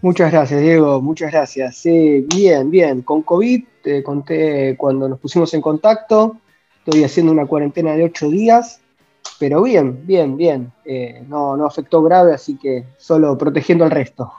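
Mellow Argentine man on a dull line. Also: fairly high pitch, normal speed, faint music behind. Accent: Rioplatense Spanish. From Buenos Aires province, Argentina. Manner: easygoing, talks in loose rambling runs. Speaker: interview guest. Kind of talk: casual interview over a remote call.

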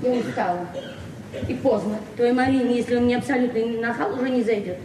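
On a noisy, echoey old radio play recording, an actress plays a proud small-town librarian in her forties. Her high voice moves quickly, plain-spoken and frank, with the earnest grievance of a hard-pressed woman. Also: far from the mic, lightly acted. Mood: weary, curt. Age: 40s. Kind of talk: Soviet 1970s radio drama acting.

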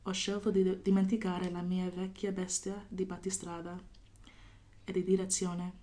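A woman from California speaks as a poet on a podcast. Her voice sits low and stays fairly flat in pitch. She talks thoughtfully, pausing often with fillers as she works through ideas. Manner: thoughtful, hesitant with many fillers, laughs often. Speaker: poet guest. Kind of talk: podcast interview with poetry reading.

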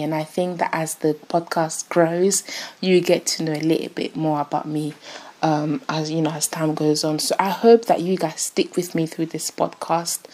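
A woman's voice: low voice, darkish tone, husky. A woman in her twenties from France, chatting casually and laughing at herself as she introduces her podcast. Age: 20s. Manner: chatty, giggly, self-deprecating. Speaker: podcast host.

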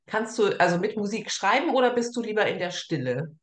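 A woman hosts a casual interview in a conversational style. Her pitch is average for a woman. The recording is noticeably roomy, with echo on her voice. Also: animated, fairly quick. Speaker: interview host. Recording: echoey, roomy sound.

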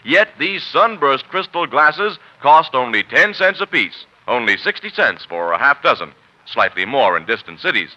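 A man's voice: mid-range voice, very animated, normal speed, bright, forceful tone. An American man announcing for a 1940s radio sponsor, with polished, salesmanlike delivery. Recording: muffled 1940s radio recording.

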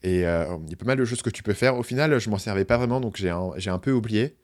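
A man hosting a casual conversation, asking questions in a relaxed way with fillers. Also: lowish voice, fast. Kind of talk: casual interview chat.

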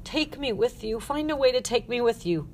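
A woman's voice: mid-range voice, normal speed, natural voice. Lonely, earnest girl played by a woman, pleading audiobook character, noisy recording. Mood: desperate.

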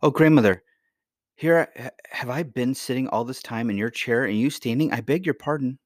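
A man's voice: mid-range voice, fairly quick, no echo, studio quality, voice pushed up, close to an everyday voice. A man voicing an eager, polite young princess who speaks earnestly in a storybook reading. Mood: excited. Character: eager, polite little princess, curious and easily puzzled. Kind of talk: acted dialogue in a children's fairy tale reading.

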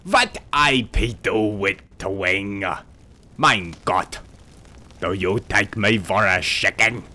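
A man's voice: fairly low pitch, slow pace, sharp tone, exaggerated voice. A man voicing a quarrelsome male spirit with a heavy German accent, speaking broken, bossy English in a storybook reading. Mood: indignant. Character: gruff insolent male spirit, squat and quarrelsome. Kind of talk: audiobook character voice.

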